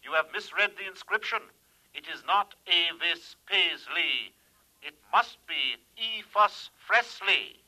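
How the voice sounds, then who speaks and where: A pompous learned scholar in a 1950s radio play, heard through a telephone-like filter. His voice is piercingly bright and fairly high, lively in pitch, and very sure of itself. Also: slow, full character voice. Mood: pompous, declaiming. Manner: pompous, declamatory. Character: learned academic colleague calling in, pompous, sure of himself. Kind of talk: 1950s radio drama acting.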